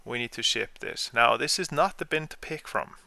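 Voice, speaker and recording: A man explaining software step by step in an informal screen-share tutorial, as the trainer. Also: medium pitch, moderate pace, gravelly, no room sound.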